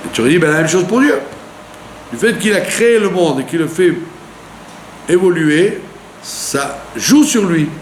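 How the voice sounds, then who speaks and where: Animated rabbi teaching in an echoey room. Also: male voice, sits high, normal speed, pauses often. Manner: animated teaching, with rhetorical questions and frequent "d'accord?". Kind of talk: Torah lesson sermon. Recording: echoey room with faint hum.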